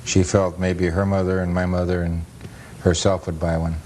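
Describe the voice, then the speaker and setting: A man's voice: low-pitched, level tone, normal speed, a little mellow. An American man, a guest on a television interview, explaining things in a plain, matter-of-fact, conversational way. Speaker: interview guest, photo historian and author. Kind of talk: casual explanatory talk. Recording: noisy 1980s cable TV recording.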